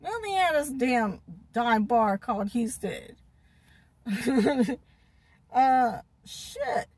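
High husky woman ranting in car. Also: lively intonation, extremely slow, a little mellow, no room sound. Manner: swears heavily, laughs often, repeats herself, gestures a lot. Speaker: vlogger. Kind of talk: rambling profane storytelling. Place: parked car, inside. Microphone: phone camera at arm's length.

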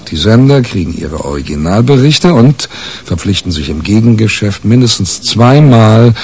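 A wry, deal-making newspaper reporter in a crime radio play. His voice is very deep and rough around the edges. He talks colloquially, with a sardonic, wary edge. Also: animated, moderate pace, darkish tone. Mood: wry narrating. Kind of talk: 1980s German radio crime drama, dialogue and first-person narration.

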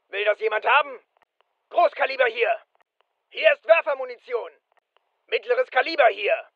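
Ein Mann spielt einen wachsamen Soldaten in einem Militärshooter, der knappe, befehlende und drängende Kampfmeldungen ruft. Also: male voice, very high-pitched, sharp tone, dry recording, highs cut off, heavily acted. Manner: clipped, commanding, urgent. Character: alert male soldier barking urgent battlefield callouts. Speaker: voice actor in a dubbed military shooter. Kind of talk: military combat callouts, short barked lines.

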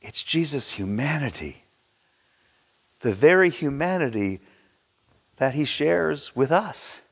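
A man in his sixties preaching a sermon with theatrical, emphatic delivery and dramatic pauses, recorded in a church. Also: on the high side, lively intonation, vocal fry, husky. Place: large church sanctuary, indoors at a pulpit. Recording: reverberant church hall.

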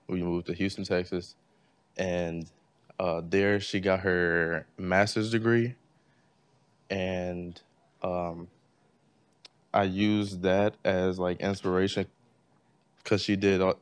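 A young man giving a class tribute speech, halting and full of fillers. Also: sits low, flat delivery, smooth, dry recording. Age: young adult. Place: library classroom.

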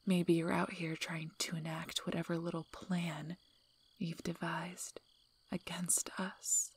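A woman voicing a gruff, guarded but protective werewolf alpha in a breathy, soft-spoken ASMR role-play, dry and teasing. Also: fairly low pitch. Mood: cold, stern. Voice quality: breathy, husky, half-whispered.

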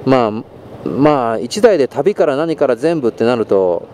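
A man's voice: mid-range voice, sing-song, moderate pace. A man talking casually and at rambling length over a helmet intercom while riding a motorbike. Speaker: motovlogger. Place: outdoors on a motorbike on a night expressway. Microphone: helmet intercom headset. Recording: loud motorbike road and engine noise.

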